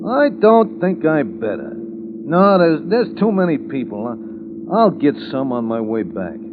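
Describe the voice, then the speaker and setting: Slow-witted American gangster on tinny old radio. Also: male voice, a little high, sing-song, background noise. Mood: uneasy, apologetic. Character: simple-minded, chatty, apologetic male kidnapper gangster. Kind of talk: 1940s radio acting. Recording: muffled vintage radio broadcast, loud music behind.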